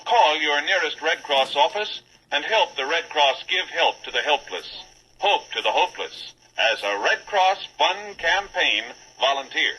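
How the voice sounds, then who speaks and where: A man's voice: a little high, animated, a little slow, pushed and bright. A man with an American accent reading scripted announcements and drama narration in a formal, declamatory old-time radio style. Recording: muffled old radio recording, clear hiss.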